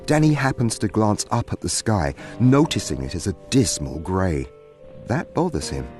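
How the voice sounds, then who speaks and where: A man's voice: lowish voice, very animated, normal speed, a little mellow, soft background music. A man narrating a scripted fantasy story in a theatrical storybook manner.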